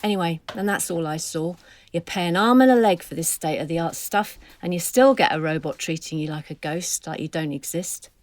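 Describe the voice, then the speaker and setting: A woman's voice: fairly low pitch, normal speed, no echo, lightly acted. Grumpy, indignant elderly woman, played by an actress. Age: older. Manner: complaining, blunt. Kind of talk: scripted audio drama.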